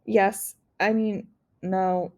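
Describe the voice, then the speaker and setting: A woman voicing a shy, hesitant male pet shop clerk in a storybook, mumbling and slow to answer. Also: medium pitch, flat delivery, a little mellow. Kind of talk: storybook read-aloud character voice.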